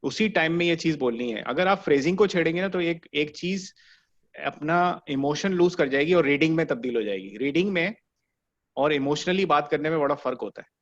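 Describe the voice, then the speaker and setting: A man's voice: a little high, a little fast, highs cut off. A man teaching an online animation class, explaining patiently and checking that students follow. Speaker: animation instructor.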